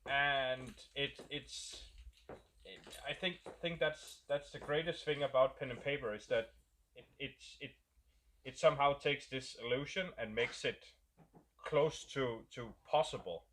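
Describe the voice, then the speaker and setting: A man's voice: mid-range voice, a little slow, fairly bright tone, slight background noise. A man with a Danish accent, a game designer casually explaining a new roleplay setup while thinking aloud and searching for words.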